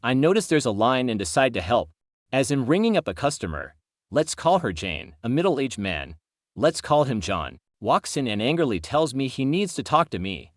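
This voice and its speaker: Male narrator for scripted stories, animated intonation, dry sound, plays out quoted lines. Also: medium pitch, moderate pace, no echo. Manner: acts out quoted dialogue. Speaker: channel host narrator reading stories.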